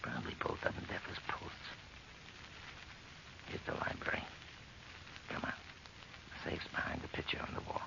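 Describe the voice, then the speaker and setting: A man's voice: moderate pace, long pauses, close to an everyday voice. An actor playing a brash, callous burglar who bullies and wheedles in slangy tough-guy talk in an old radio horror drama. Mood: hushed, impatient. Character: brash, callous male burglar, pushy and scornful. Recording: muffled, noisy old radio recording.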